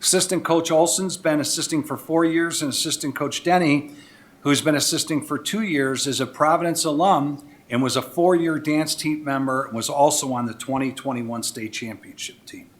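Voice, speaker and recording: American man in his sixties, slightly high voice. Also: normal speed. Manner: informal, folksy asides amid formal reading. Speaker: mayor presiding over a village board meeting. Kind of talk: public recognition speech, partly read from prepared notes. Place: indoor village board meeting room.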